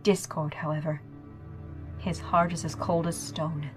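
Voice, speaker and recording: Regal pony ruler, female actor: solemn, stern, lowish female voice, audio drama over music. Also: level tone. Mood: grave, calm. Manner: measured, solemn. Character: regal, grave elder pony princess, calm and stern. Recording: music behind the voice.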